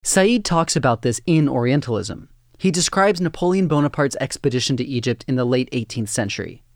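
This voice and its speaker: A man reading scripted documentary narration in a measured, even way. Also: medium pitch, no room sound, clean studio sound.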